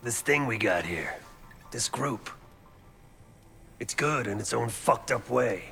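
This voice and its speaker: An actor playing a weary, loyal middle-aged covert operative in a video game, talking casually and gruffly with some swearing. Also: male voice, medium pitch, animated, slow pace, bright, forceful tone, soft background music, exaggerated voice. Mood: reflective, warm. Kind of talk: naturalistic video game dialogue.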